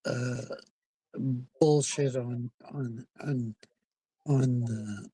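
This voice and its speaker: A man in a rambling, casual livestream chat, haltingly repeating words and phrases and swearing casually. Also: medium pitch, fairly even intonation, mellow, husky, no echo, poor audio. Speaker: livestream participant reading out chat.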